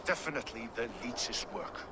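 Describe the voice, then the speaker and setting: A man's voice: medium pitch, normal speed, noisy background, lightly acted. Man acting a grim medieval dockside watchman. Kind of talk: scripted video game cutscene acting.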